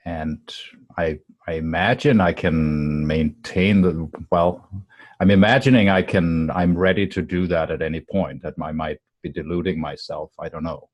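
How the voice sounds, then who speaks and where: A man in a group conversation, speaking hesitantly and slowly while searching for his words. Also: deep voice, fairly even intonation, dry recording, muffled recording. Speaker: discussion participant.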